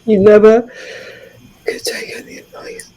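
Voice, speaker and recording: A woman's voice: medium pitch, little pitch movement, measured pace, warm tone, in a whisper, close to an everyday voice, low-quality recording. A woman playing a grieving, fierce adventurer in tabletop roleplay, tearful and raw. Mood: heartbroken. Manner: emotional, raw. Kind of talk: improvised tabletop roleplay drama.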